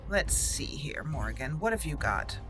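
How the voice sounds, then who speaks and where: A woman giving casual, expressive commentary as she roleplays through a video game, talking to the characters as she plays. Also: deep voice, a little slow, music in the background. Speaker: gaming channel host doing a let's play.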